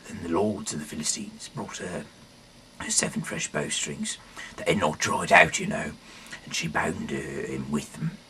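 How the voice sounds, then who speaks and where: A man reading scripture aloud in a halting, rambling way, often correcting himself and dropping in casual asides. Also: a bass voice, bright, forceful tone, slight background noise. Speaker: amateur reader of a Bible audio series.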